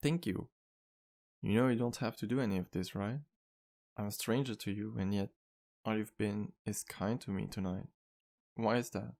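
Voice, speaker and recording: Man playing a low, gravelly, charming vampire bartender. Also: moderate pace, long pauses. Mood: hopeful, grateful, then tender, flirting. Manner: soft-spoken, polite, earnest, flirtatious. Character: charming, sweet male vampire bartender, earnest and wistful. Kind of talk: ASMR roleplay, intimate one-sided dialogue to listener.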